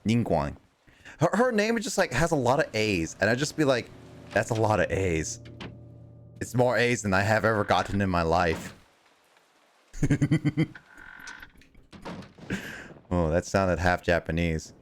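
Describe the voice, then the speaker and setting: Rambling low-voiced young man streaming a game. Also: very animated, measured pace, pauses often, a little hiss or hum. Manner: rambling, self-mocking, stumbles over names. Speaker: streamer playing a video game. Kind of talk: casual livestream chat with viewers.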